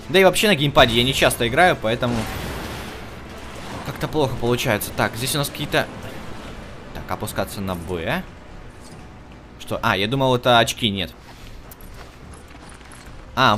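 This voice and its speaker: A man's voice: mid-range voice, fairly bright tone. A man hosting a gaming video with casual let's play commentary, thinking aloud and talking to the characters on screen. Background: music behind the voice.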